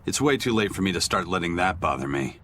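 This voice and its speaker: A stoic, gruff pirate for a video game, low in pitch and lively in range. He talks bluntly and with stubborn resolve, over clear background noise. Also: quick pace. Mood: stoic, determined.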